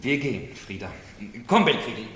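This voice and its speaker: A man's voice: medium pitch, very animated, normal speed, a bit bright. An actor playing a commanding, impatient nobleman in a 1970s German radio play, authoritative and insistent. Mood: businesslike. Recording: echoey, faintly noisy 1970s radio drama.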